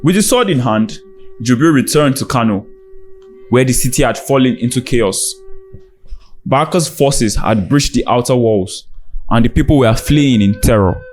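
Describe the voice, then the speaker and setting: A man's voice: mid-range voice, expressive, moderate pace, a little mellow. A man narrating a scripted dramatic folktale as a storyteller. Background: background music.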